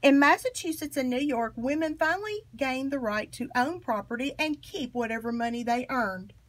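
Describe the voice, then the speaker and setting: Woman, higher-pitched voice, children's storybook read-aloud, expressive delivery, emphatic phrasing. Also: normal speed. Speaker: storybook reader. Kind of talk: reading a children's picture book aloud.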